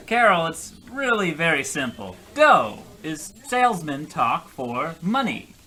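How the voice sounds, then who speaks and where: Man as defensive gullible husband, raised pitch, lively swoops, rambling, exasperated, radio comedy. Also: slow pace, heavily acted. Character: gullible, rambling, defensive husband telling his tall story. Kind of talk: old-time radio comedy acting.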